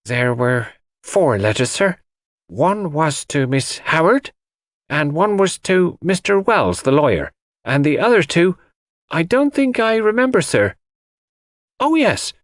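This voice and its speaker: Audiobook casting: excitable young maidservant played by a man, pitched high, deferential and flustered, dry recording. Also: a little slow, dry recording. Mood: hesitant, deferential.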